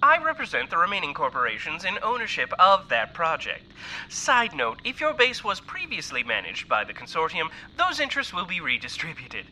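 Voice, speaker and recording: A man's voice: fairly high pitch, huge pitch swings, fairly quick, sharp tone, heavily acted. A man playing a smug, officious corporate spokesman delivering a formal, menacing message in an audio drama. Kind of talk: audio drama acting, scripted announcement. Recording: noisy recorded broadcast message.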